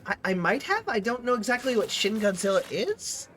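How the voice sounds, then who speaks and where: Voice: medium pitch, big pitch swings, fairly quick, a bit bright, soft background music. A streamer with a stuffy, sniffly nose, chatting casually and rambling while playing a game. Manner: chatty, rambling, trailing off mid-sentence. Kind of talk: casual gaming chat.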